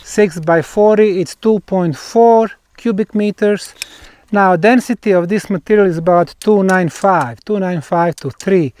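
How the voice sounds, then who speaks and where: Slow-speaking man with a Bosnian accent. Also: sits high, a little mellow. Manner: deliberate, emphatic short phrases with pauses. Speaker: researcher presenting a field investigation. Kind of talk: explanatory on-site presentation.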